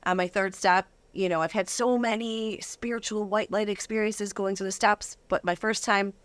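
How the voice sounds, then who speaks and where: A woman's voice: medium pitch, steady tone, moderate pace, dry recording. A woman speaking as an interview guest, giving a casual, conversational personal testimony.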